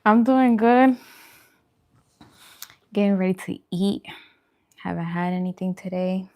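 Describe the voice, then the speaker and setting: A woman's voice: mid-range voice, a little slow, a little mellow. A woman hosting a food channel, chatting casually and informally with viewers during a mukbang livestream.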